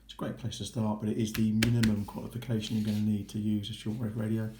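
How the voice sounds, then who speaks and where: A man's voice: lowish voice, little pitch movement, quick pace. A man giving a casual, informal, approving walkthrough as a vlogger. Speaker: vlogger documenting a boating course. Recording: roomy echo with faint noise.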